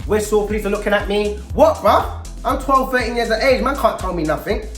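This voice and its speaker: A man with a London accent tells a personal story to young people in street slang, animated and emphatic, acting out dialogue as he goes. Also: high-pitched, fairly quick. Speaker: storyteller and youth mentor. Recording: roomy echo with faint music underneath.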